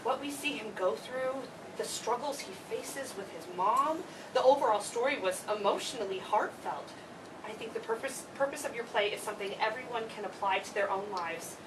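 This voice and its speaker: Woman as gushing schoolteacher, highish voice, emphatic, stage reading, noisy recording. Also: normal speed, natural voice. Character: effusive, gushing schoolteacher praising her students. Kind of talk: amateur staged play reading. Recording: distant mic, clearly noisy live room.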